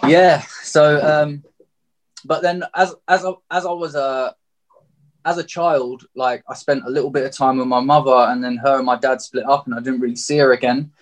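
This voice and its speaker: This is a man of about thirty with a southeast English accent. He speaks as an interview guest, telling a personal story candidly. His manner is open and reflective, and his voice sits at a middling pitch. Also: fairly even intonation, normal speed. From Margate, England.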